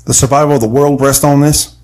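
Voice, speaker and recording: A man's voice: mid-range voice, steady tone, quick pace, a little mellow, natural voice. A man playing an earnest, folksy adventurer who tells his tale in an audio drama. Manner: earnest storytelling. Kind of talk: audio drama narration and acting.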